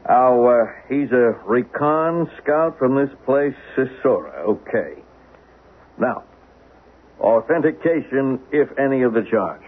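A man's voice: medium pitch, lively intonation, takes it slowly, narrow, tinny audio. An actor playing a patient, skeptical policeman in a 1970s radio drama, matter-of-fact and folksy as he humors someone. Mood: humoring, official. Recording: noisy, band-limited 1970s radio broadcast.

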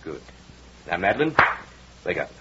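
A man's voice: deep voice, big pitch swings, normal speed. An actor playing a lovesick, murderous and controlling man in old-time radio melodrama, theatrical, brooding and insistent, on a dull vintage recording with music. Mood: commanding, hypnotic.

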